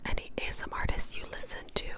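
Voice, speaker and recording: A young woman whispering in a breathy, casual, rambling ASMR style as she answers questions, softly repeating words now and then. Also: fast talker. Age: young adult. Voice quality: breathy, mostly unvoiced whisper.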